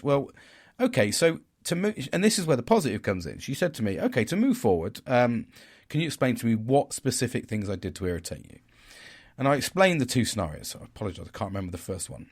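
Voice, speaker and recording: A man with a naturally deep, loud voice talks through his week as a podcast host. His delivery is rambling and frank, with the odd swear word. His pitch swings up and down lively as he goes. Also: fast talker. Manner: rambling, candid, swears casually. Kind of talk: casual reflective monologue.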